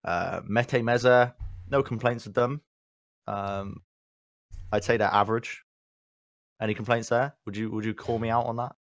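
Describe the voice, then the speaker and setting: A man's voice: on the low side, steady tone, no room sound. A man hosting a casual gaming podcast, joking around and hurrying the talk along. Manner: joking, playful, rushes along. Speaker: podcast host.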